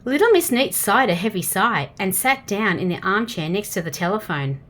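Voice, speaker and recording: A woman with an Australian accent reading a children's storybook aloud in an expressive way, addressing young listeners directly. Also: medium pitch, moderate pace. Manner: expressive, asks the listener questions.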